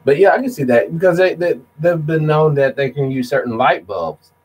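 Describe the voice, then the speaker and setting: A man's voice: a little high, expressive, moderate pace, a little mellow, breathy edge. A man hosting a casual talk show, speaking bluntly with slang. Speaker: host. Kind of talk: casual discussion.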